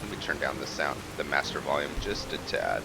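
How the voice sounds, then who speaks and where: A man's voice: extremely low pitch, very animated, a little fast, vocal fry, loud music behind the voice. A man hosting a gaming video, giving casual let's-play commentary as he plays.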